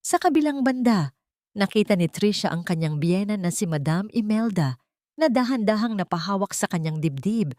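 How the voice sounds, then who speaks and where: A woman narrating a drama story in an expressive, dramatic way. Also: mid-range voice, moderate pace, dry recording, studio quality.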